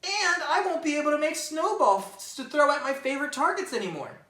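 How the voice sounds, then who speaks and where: A woman's voice: sits high, expressive, a little fast, fairly bright tone, voice pushed up. A woman voicing a grumpy, worried young male rabbit in a picture book read-aloud. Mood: grumbling. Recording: roomy, mic at a distance.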